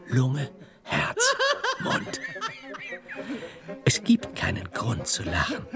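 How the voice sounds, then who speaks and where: A giddy comedian in a poetic radio-play monologue. The voice is fairly high and creaky, lively yet unhurried in its delivery. Loud music surrounds it. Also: male voice, full character voice. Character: giddy comedian narrating his own helpless laughter. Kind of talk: poetic first-person radio drama monologue.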